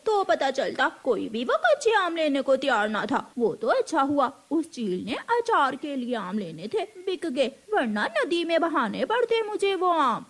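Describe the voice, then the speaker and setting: A woman's voice: sits high, sing-song, measured pace, hardly any pauses, heavily acted. A woman voicing a greedy female crow wife in a cartoon, whiny and eager. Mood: complaining.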